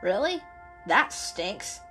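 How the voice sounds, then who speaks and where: A woman's voice: mid-range voice, very animated, takes it slowly, bright, forceful tone, faint music behind, heavily acted. A woman voicing a friendly, carefree travelling cat in a children's story, chatty, upbeat and emphatic. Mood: cheerful greeting.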